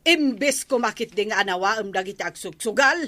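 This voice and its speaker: An actress playing the nagging, exasperated wife of a village captain in a radio comedy drama. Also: female voice, mid-range voice, brisk, noisy background, close to an everyday voice.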